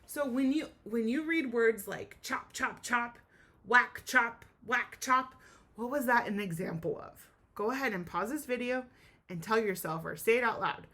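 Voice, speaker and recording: A woman teacher reading a storybook aloud to children in an enthusiastic, expressive way, speaking directly to her young listeners with questions and explanations. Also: fairly high pitch, unhurried.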